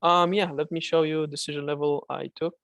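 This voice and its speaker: A man with a Malaysian accent runs a live trading Q&A and chats loosely, with fillers and restarts. His voice sits a bit high and carries a gravelly rasp. The sound is dry and close. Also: little pitch movement, fairly quick, a bit bright, no room sound. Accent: Malaysian English. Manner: casual, chatty, many fillers and restarts. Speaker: trading mentor.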